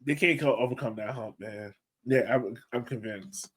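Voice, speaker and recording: A man's voice: mid-range voice, moderate pace, slightly rough. Casual man co-hosting a sports podcast. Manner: informal, colloquial speech. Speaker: podcast co-host.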